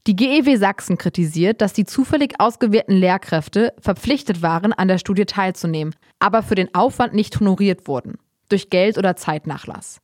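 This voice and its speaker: A woman hosting a podcast, reading news items in an even, read-aloud way before moving into an interview. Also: mid-range voice, brisk, no room sound, clean studio sound. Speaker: podcast host.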